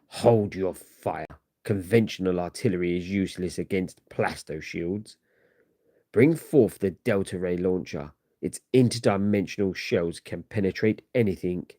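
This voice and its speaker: A man plays an imperious conqueror from a comic book. He commands coldly and deliberately. His voice is low and mellow, recorded dry. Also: dry recording. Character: imperious male conqueror warlord, cold and commanding.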